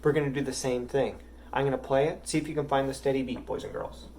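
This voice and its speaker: A man's voice: medium pitch, fairly even intonation, fast. A man teaching a music lesson to young children, patient and encouraging.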